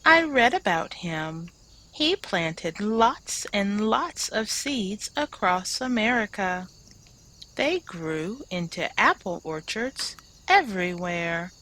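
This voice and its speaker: A woman reading aloud an eager, bookish little girl bunny in a children's storybook, earnest and excited. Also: mid-range voice, takes it slowly. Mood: earnest, helpful.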